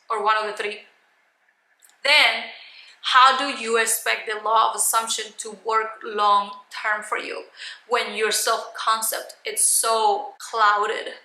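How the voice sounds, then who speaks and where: Bright, emphatic woman coaching in echoey room. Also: on the high side. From Cuban. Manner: emphatic, blunt, loud. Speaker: life coach, video host. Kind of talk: motivational coaching talk to camera.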